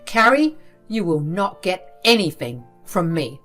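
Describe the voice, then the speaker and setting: A woman's voice: on the low side, sing-song, unhurried, fairly bright tone, faint music behind, heavily acted. A woman voicing a stern, wealthy elderly father who scolds firmly. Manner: firm, scolding.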